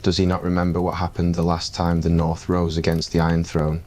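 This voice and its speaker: A young king, voiced by a man speaks in a very deep, flat tone, slow and deliberate. He is wry and commanding, with little rise and fall in his voice. It is an ASMR roleplay story.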